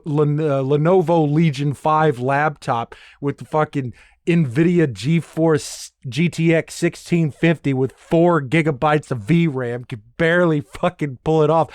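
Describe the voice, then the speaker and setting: A man's voice: on the high side, clean tone, dry recording. Casual, rambling man in a dry space. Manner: sweary, self-deprecating, animated nerdy enthusiasm. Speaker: podcast host. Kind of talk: casual, rambling solo chat.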